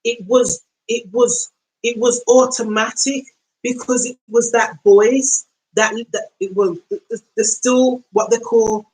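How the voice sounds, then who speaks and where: A woman with a London accent telling a story in a casual, slangy monologue, acting out quoted speech in slow, deliberate tones. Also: mid-range voice, slight background noise, low-quality recording. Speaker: podcast host.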